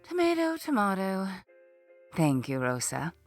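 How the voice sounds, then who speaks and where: A woman's voice: deep voice, animated, a little slow, soft background music, heavily acted. A woman playing an imperious, spoiled rich heiress in a comic audio drama, with a melodramatic manner. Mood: haughty, alarmed.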